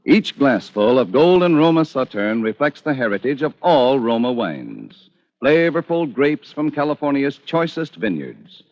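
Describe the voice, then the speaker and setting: A man reading a 1940s radio advertisement in a polished, emphatic and deliberate way. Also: mid-range voice. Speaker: commercial announcer. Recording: dull vintage radio recording.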